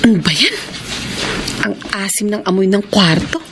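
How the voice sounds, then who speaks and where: An actress with a Filipino accent playing a weary, fussy young housewife in a radio drama, expressive and given to complaining. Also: female voice, medium pitch, moderate pace, very noisy, close to an everyday voice. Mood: grumbling.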